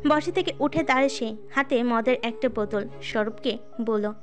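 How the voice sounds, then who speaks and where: A woman's voice: fairly high pitch, moderate pace. A woman reading a scripted dramatic audio story as its narrator. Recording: music under the voice. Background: music.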